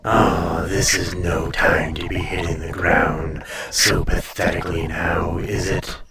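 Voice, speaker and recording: A man playing an ancient, proud dragon in humanlike form in an intimate audio roleplay, speaking to the listener with haughty, teasing condescension and drawn-out phrasing. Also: deep voice, flat delivery, a loud crowd. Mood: mocking, probing.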